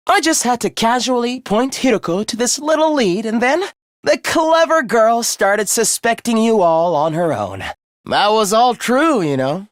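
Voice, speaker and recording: High, theatrical anime schoolboy. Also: male voice, no room sound, studio quality. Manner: theatrical, polite, honeyed. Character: young male student, sweetly idealistic, secretly sinister schemer. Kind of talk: anime game voice acting.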